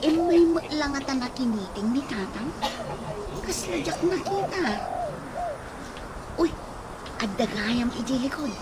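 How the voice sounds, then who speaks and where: A woman's voice: high-pitched, expressive, a little slow, long pauses, very noisy. An actress with an Ilocano accent playing a chatty village woman in a radio comedy drama.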